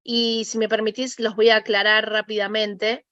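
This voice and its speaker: A woman's voice: on the high side, little pitch movement, moderate pace, fairly bright tone, no room sound, dull audio. A woman in her forties from Argentina with an Argentine accent, patiently explaining ideas step by step as an interview guest. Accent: Argentine Spanish. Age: 40s.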